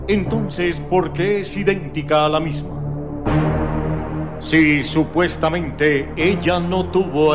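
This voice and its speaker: A man reading scripted narration for a radio drama in a suspenseful, deliberate, theatrical way. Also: fairly high pitch. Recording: music behind the voice.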